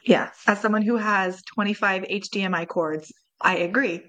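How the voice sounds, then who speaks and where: A woman's voice: medium pitch, fairly even intonation. Host: a woman with a mid-range voice, encouraging, praises her guest freely. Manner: warmly encouraging, quick to praise. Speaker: podcast host. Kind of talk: casual podcast conversation.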